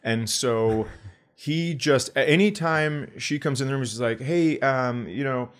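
A middle-aged man with an average-pitched voice banters as a podcast comedian. His delivery is conversational and sardonic, with salty language and extended comic riffs. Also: measured pace. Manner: sardonic riffing, profane.

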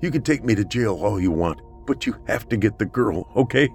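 A man's voice: medium pitch, fairly even intonation, drawn-out words, creaky, a little mellow, faint music behind, voice pushed up, exaggerated voice. A man voicing a desperate, hunted man in a horror story, pleading urgently in a strained voice.

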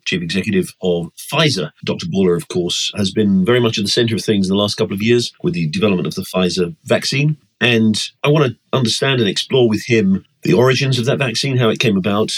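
A man's voice: lowish voice, fast, few pauses, slightly rough, low-quality recording. A man hosting an interview podcast, introducing his guest and asking questions in a polished, courteous way.

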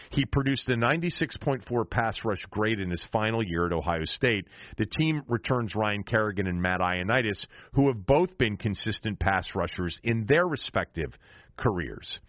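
A man hosting a sports podcast, giving conversational commentary and emphatically restating his points. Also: fairly low pitch. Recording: roomy, dull lo-fi audio.

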